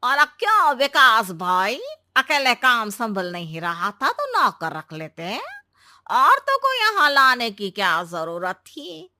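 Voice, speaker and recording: A woman voicing a sneering, meddlesome village man in a cartoon, speaking in a lowered, mocking voice. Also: huge pitch swings, takes it slowly, pushed and bright, no echo, clean studio sound, heavily acted.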